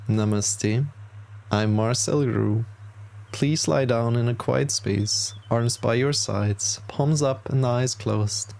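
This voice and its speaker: A man teaching yoga nidra in a calm guided meditation, speaking gently and soothingly in measured instructions with long pauses. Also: on the low side, gravelly.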